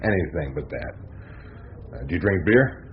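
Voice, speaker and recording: An actor playing a sceptical, world-weary newspaper reporter in a radio drama, dry and laconic. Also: male voice, sits low, big pitch swings, normal speed. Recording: slightly dull, noisy radio recording.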